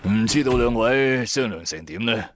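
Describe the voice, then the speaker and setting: A man voicing a sly, courteous old crime boss in a Cantonese audio drama, smooth and unhurried. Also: mid-range voice, lively intonation, noisy background, close to an everyday voice. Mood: genial probing.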